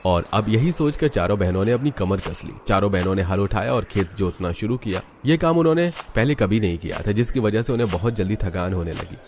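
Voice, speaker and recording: A man's voice: lowish voice, animated, fairly quick, few pauses, a little mellow, slight background noise. A man narrating a scripted cartoon story as the storyteller.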